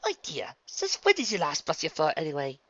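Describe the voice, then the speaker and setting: A man's voice: fairly high pitch, huge pitch swings, brisk, fairly bright tone, lo-fi sound, voice pushed up, heavily acted. Man putting on a gushing, refined lady pony. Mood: cheerful coaxing. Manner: gushing, genteel, uses endearments. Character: refined, bossy, coaxing lady pony, theatrically gracious.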